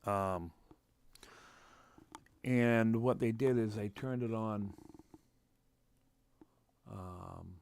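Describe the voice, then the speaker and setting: A man from New England hosting a casual, unscripted livestream, halting and repeating himself as he thinks aloud. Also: lowish voice, gravelly, a little mellow, clean tone. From New England, United States. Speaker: livestream host, radio scanner hobbyist. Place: room at home. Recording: noticeable background noise, home livestream.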